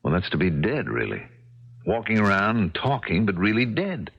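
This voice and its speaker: An actor playing a plainspoken, earnest, vulnerable man falling in love in a 1970s radio drama, husky and groping for words. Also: lowish voice, very animated, normal speed, heavily acted. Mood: reflective, wounded. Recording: dull, lo-fi 1970s radio recording.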